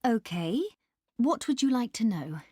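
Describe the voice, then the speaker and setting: Slow, lively, helpful park guide woman. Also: fairly high pitch, no echo, clean studio sound, exaggerated voice. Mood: helpful, informative. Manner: clear explaining, helpful, deliberate. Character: helpful, informative female country park staff member. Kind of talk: scripted listening-test dialogue.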